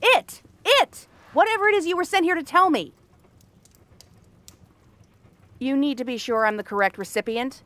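A woman's voice: high voice, a little fast, a bit bright, no room sound. A woman playing a cranky, sarcastic exiled princess cursed into a frog girl, in a fantasy audio roleplay. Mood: exasperated. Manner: snappish, sarcastic, tsundere tomboyish bluster. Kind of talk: fantasy ASMR roleplay acting.

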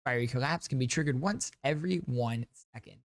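A man's voice: medium pitch, fairly quick, dry recording. A young man hosting a gaming channel with enthusiastic commentary, speaking into a close microphone at home. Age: young adult. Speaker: YouTube gaming host. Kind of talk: upbeat game reaction commentary. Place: small home streaming room, indoors. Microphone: close studio microphone on a boom arm.

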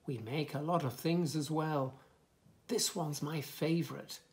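A man in his fifties reading a picture book aloud to young children, with expressive, sing-song delivery and soft asides. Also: a little high, a little slow. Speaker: children's story reader, host. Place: small furnished room indoors, bookshelves.

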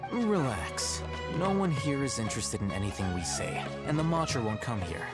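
A man voicing a calm, aloof, calculating young scholar in a video game, speaking in a measured, dry, formal way. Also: medium pitch, over loud music, lightly acted. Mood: cool, composed. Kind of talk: scripted video game dialogue.

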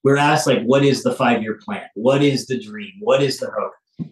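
A middle-aged man speaking earnestly and haltingly in an interview, reflecting as he goes with deliberate pauses. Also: medium pitch. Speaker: studio head being interviewed. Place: small office, on a video call. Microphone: phone some way off. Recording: roomy, distant phone microphone.

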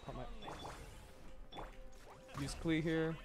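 A man doing casual livestream gameplay commentary, excitable and thinking aloud as he plays. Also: on the high side, drawn-out words, over music. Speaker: streamer.

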